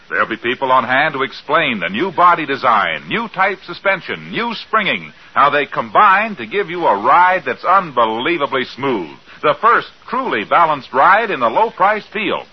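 A male American pitchman in vintage radio style. His voice is fairly high and forceful with a husky edge, selling with emphatic enthusiasm. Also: low-quality recording. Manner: emphatic, upbeat. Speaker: commercial announcer. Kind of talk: 1950s radio ad read, enthusiastic salesmanship. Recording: vintage 1950s radio recording.

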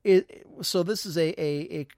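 Male podcast host, slightly high voice, dry close sound, rambling and teasing. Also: unhurried, darkish tone, no echo. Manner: rambling, teasing, self-mocking boasts. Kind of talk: casual live podcast chat.

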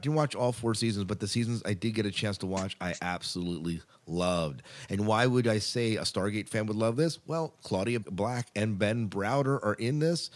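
A man hosting a podcast, moving between scripted promotion and chatty asides. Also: fairly low pitch. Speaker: podcast host.

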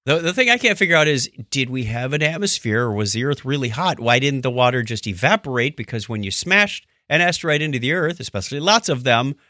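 A man's voice: medium pitch, expressive, fast talker, hardly any pauses, pushed and bright, no echo, studio quality. A man chatting casually about science news as a podcast co-host, with wry, joking asides.

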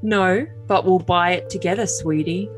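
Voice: medium pitch, fairly even intonation, normal speed, soft background music, natural voice, poor audio. A performer playing a doting young boyfriend, earnest and stilted, in an overly dramatic reading of text messages. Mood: coy, doting.

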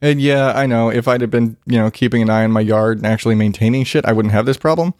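Stuffy congested dude ranting about his yard, cussing a lot, mid-pitch voice, dead dry room. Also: fast, a little mellow, no room sound. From Arizona, US. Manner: profane, rambling, self-deprecating. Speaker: vlogger. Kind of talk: casual rant.

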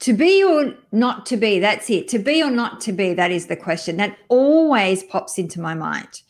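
A woman's voice: mid-range voice, expressive, normal speed. Middle-aged woman guest, upbeat conversational interviewee. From France. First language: French. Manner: upbeat, chatty, with restarts. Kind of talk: casual interview chat.

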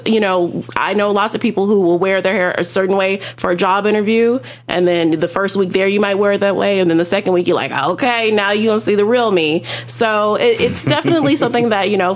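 A woman talking casually as a podcast panelist, with an expressive, conversational delivery. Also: mid-range voice.

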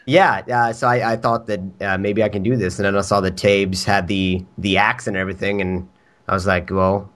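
A man's voice: sits low, little pitch movement, normal speed, a bit bright. A man from Sweden with a Swedish accent, a podcast guest chatting casually, rambling, laughing often and swearing.

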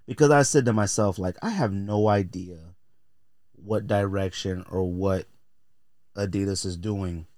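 A man's voice: low-pitched, a little slow, a little mellow, no echo, studio quality. A man hosting a podcast in a casual, opinionated rant, emphatic and exasperated, repeating phrases to drive points home. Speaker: podcast host.

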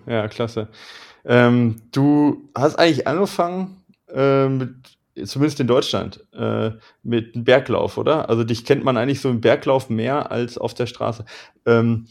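A German man hosting a casual interview, chatty and asking leading questions that end in a quick check for agreement. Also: medium pitch, gravelly, darkish tone. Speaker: interviewer, podcast host.

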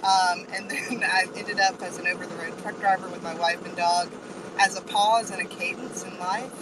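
Woman talking over loud road noise. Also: fairly low pitch, fairly even intonation, moderate pace, far from the mic. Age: 40s. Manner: candid, self-deprecating, lots of ums. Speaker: guest, truck driver. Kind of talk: casual introduction in an audio chat. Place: truck cab on the road.

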